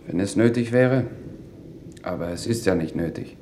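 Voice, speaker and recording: A man's voice: low-pitched, normal speed, natural voice. An actor playing a terse, indifferent colonist spokesman in a 1950s German radio play, speaking in a matter-of-fact way. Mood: indifferent, brisk. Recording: dull roomy 1950s radio recording, hiss.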